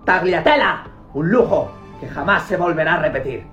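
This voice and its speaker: A man playing a haughty, bossy female store manager in a comedy sketch, imperious and deadpan, with a Castilian Spanish accent. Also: fairly high pitch, normal speed, soft background music. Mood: bossy, menacing.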